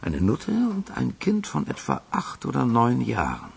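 A man's voice: medium pitch, wildly swooping pitch, a little slow, creaky, a little hiss or hum, heavily acted. An actor playing a dutiful male underling in a German radio crime drama, reporting to his boss in a matter-of-fact, deferential way. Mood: factual.

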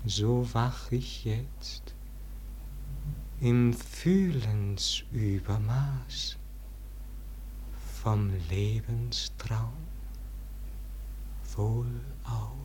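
A man's voice: fairly low pitch, a little mellow. An actor playing a brooding, exalted dying nobleman in a vintage German radio verse drama, declaiming theatrically. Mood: fading, solemn. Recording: old radio recording with faint noise.

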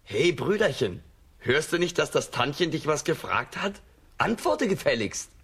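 Cheeky young farm brother, animated. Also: male voice, on the high side, unhurried, creaky, breathy edge, heavily acted. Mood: bossy. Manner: mocking, brash. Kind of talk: German children's radio drama acting.

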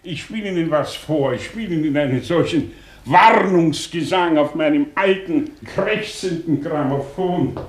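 A man's voice: on the high side, measured pace, natural voice. A man playing an embittered ageing scholar in a 1960s radio drama, declaiming in a theatrical, volatile way. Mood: prophetic, agitated.

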